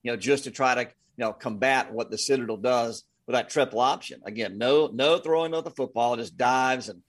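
A man's voice: mid-range voice, fairly quick. An American man, a sports announcer speaking as an interview guest, giving casual analysis.

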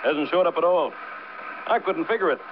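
Cocky American construction boss played by a man, old-time radio: slightly high voice, slangy, breezy, talkative toughness. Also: lively intonation, normal speed, natural voice. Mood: confiding. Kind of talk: 1950s radio drama acting. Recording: muffled, noisy vintage radio recording.

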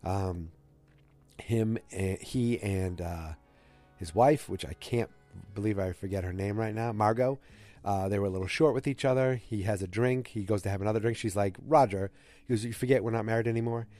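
A man's voice: lowish voice, normal speed, warm tone, faint music behind. A man with a cold, recapping a TV episode on his show in a rambling, self-correcting way. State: has a cold. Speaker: podcast host recapping a TV episode. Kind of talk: casual solo recap.

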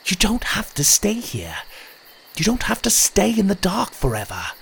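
Young male fairy hero, man acting it out: storybook fantasy, higher voice, earnest, urgent, pleading. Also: wildly swooping pitch, moderate pace, gravelly, fairly bright tone, slight background noise, exaggerated voice.

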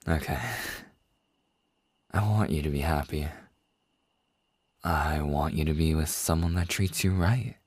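A man acts out a tender male boss, hopelessly in love, in an intimate ASMR audio roleplay. His voice is very low, husky and breathy. He talks slowly and softly, hesitating between phrases as he opens his heart. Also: no room sound, full character voice. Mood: tender, hesitant. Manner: soft, hesitant, slow with long pauses. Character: tender, lovestruck male boss confessing to an employee.

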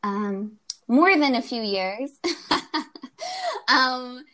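A woman's voice: on the high side, drawn-out words, pushed and bright. A woman hosting an interview, speaking hesitantly with many fillers and small laughs. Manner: hesitant, many ums, giggly. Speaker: interview host. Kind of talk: conversational interview.

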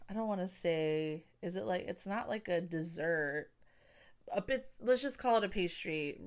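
A woman's voice: medium pitch, a bit bright, clean tone. A young woman hosting her own show, talking candidly in a casual personal monologue, full of "like" and "you know". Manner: candid, chatty, heavy use of "like" and "you know". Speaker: podcast host.

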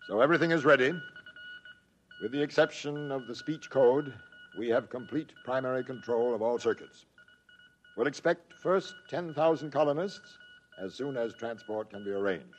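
An actor playing a cool, confident alien commander in a 1950s radio drama, authoritative and deliberate. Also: male voice, medium pitch, a little hiss or hum, close to an everyday voice. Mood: brisk command.

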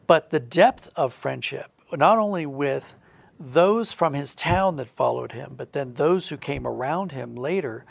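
A man's voice: medium pitch, lively intonation, measured pace, vocal fry, breathy edge, no room sound. A man giving earnest, reflective explanations as a guest in a conversational interview. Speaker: guest, audio drama writer.

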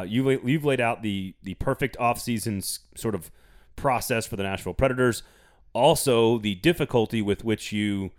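A man hosting a casual sports podcast, playful and teasing, reading an ad with gusto. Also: low-pitched. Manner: playful, teasing, reads ads with enthusiasm. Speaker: podcast host.

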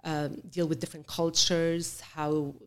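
Middle-aged woman guest, low voice, halting delivery full of fillers. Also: level tone, clear, resonant tone. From born in Chicago, raised in Beirut. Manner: halting, with many fillers and restarts. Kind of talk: interview storytelling.

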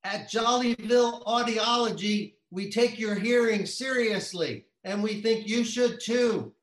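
A man acts as an earnest clinic spokesman in a parody radio commercial. The voice is high for a male, delivered deadpan, with noticeable room echo. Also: unhurried. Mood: earnest salesmanship. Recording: roomy, echoing sound.

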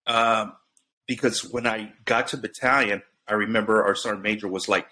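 A man's voice: lowish voice, steady tone, normal speed, pushed and bright. A middle-aged man, a guest on a talk show, telling stories in a rambling way full of restarts and fillers. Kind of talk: casual storytelling.

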